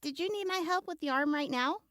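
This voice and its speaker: A woman's voice: high voice, fairly quick, pushed and bright, no room sound, clean studio sound. A woman playing a hesitant, agreeable young wasteland traveller in an audio drama, speaking informally. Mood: subdued. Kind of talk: post-apocalyptic audio drama acting.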